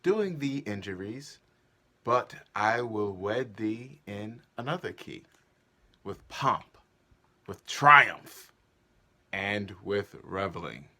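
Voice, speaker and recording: Guy doing a solemn shakespeare duke, slow, forceful, very theatrical. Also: lowish voice, big pitch swings, heavily acted. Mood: proud. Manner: stately, declamatory. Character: grand, commanding male duke, measured and solemn. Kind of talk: theatrical Shakespearean stage reading.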